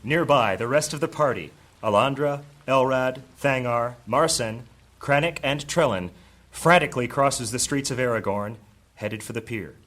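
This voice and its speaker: Mid-pitched male story narrator. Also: normal speed, a bit bright. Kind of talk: scripted story narration, present tense.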